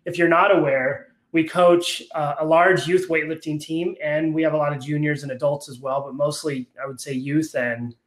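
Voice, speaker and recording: A man in his thirties hosting a casual podcast, teasing and relaxed, explaining youth weightlifting coaching in long, run-on stretches. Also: fairly high pitch, moderate pace, hardly any pauses. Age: 30s. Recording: roomy echo.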